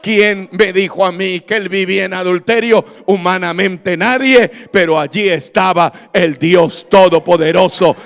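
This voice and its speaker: A man's voice: high voice, measured pace, gravelly, hardly any pauses, fairly bright tone, long reverb tail. A man preaching as a pastor, delivering an impassioned, emphatic Bible-study sermon with repeated questions to his congregation. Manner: emphatic, exhorting. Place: large reverberant church hall before a congregation.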